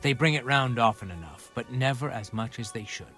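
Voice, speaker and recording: A man acting a good-humoured, gruff medieval camp quartermaster in a video game, chummy and colloquial. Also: medium pitch, lively intonation, brisk, pushed and bright, soft background music. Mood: wry, matter-of-fact.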